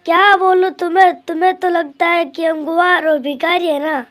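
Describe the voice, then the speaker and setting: Cartoon male pigeon, acted out by a performer: young husband, extremely high voice, earnest, insistent, family-loyal. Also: level tone, moderate pace, fairly bright tone. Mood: annoyed. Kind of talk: Hindi cartoon voice acting.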